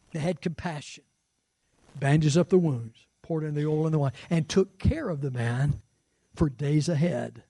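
A man's voice: on the high side, mellow, dry recording, clean studio sound. A man in his sixties preaching a sermon as pastor, conversational and fervent, with rising exclamations. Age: 60s. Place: church sanctuary.

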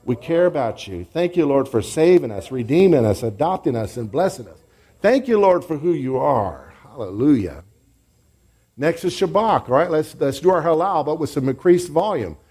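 A man's voice: on the high side, big pitch swings, normal speed, mellow, husky. A man preaching and teaching a congregation in a church, leading them in call-and-response and group praise. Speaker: pastor. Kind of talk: sermon teaching.

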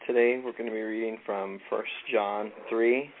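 A man from California leading a casual Bible study, relaxed and halting with frequent ums. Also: on the low side. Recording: tinny phone-like line with faint noise.

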